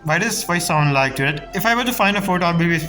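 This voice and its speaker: A man's voice: high voice, expressive, fast, over music. A man streaming a video game with casual commentary, thinking aloud in a puzzled way.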